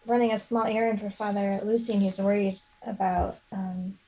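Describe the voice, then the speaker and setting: A woman improvises as a player in a tabletop game. Her voice is mellow and husky. She talks casually and apologetically, often halting as she searches for words. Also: mid-range voice, fairly even intonation, rough recording. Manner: hesitant, apologetic, many trailing restarts. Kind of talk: casual chat and improvised role-play.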